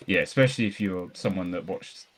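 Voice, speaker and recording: A man's voice: deep voice, brisk, husky. A man co-hosting a podcast, casually talking through films in a rambling, enthusiastic way. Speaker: podcast co-host reviewing films. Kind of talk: casual film discussion.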